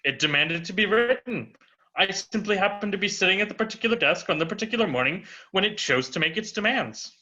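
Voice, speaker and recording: A man's voice: high voice, animated, fairly quick, bright, forceful tone, heavily acted. A man playing a witty, unflappable Russian count on trial in an amateur dramatic reading, formal, eloquent and dryly flippant. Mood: wryly unruffled. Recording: dull, muffled lo-fi recording.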